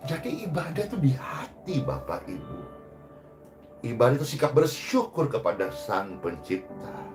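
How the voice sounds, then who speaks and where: A man preaching a sermon as a pastor, exhorting emphatically and moving between loud rises and hushed phrase endings. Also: medium pitch, wildly swooping pitch, normal speed, music in the background.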